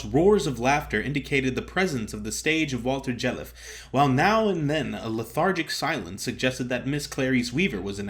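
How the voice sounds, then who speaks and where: A man performs a humorous story as a narrator. His delivery is theatrical and expressive, with dry wit and a pitch that moves widely from phrase to phrase. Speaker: narrator, actor. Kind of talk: dramatic comic story narration.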